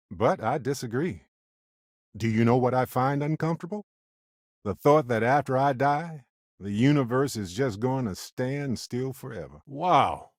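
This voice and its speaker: Affable space explorer sidekick, lowish pitch, very animated delivery, wry, conversational, video game dialogue. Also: male voice, no room sound, studio quality. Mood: warm, thoughtful, then amazed.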